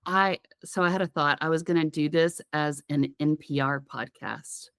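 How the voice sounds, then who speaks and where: Slightly raspy giggly woman podcaster. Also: low voice, normal speed, a bit bright, no room sound, studio quality. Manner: giggly, rambling, playful storytelling. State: getting over a sinus infection. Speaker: podcast co-host. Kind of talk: casual comedic podcast chat.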